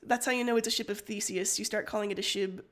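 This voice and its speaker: A woman's voice: mid-range voice, fairly even intonation, fast. A woman co-hosting a casual story-reading livestream, prone to giggling, with the start of a throat cold. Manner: giggly, breaks into laughter often. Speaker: co-host of a story-reading stream.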